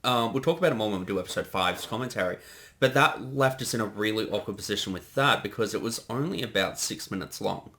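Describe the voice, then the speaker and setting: Male director, lowish bright voice, casual commentary chat. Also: fast. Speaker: director giving creator commentary.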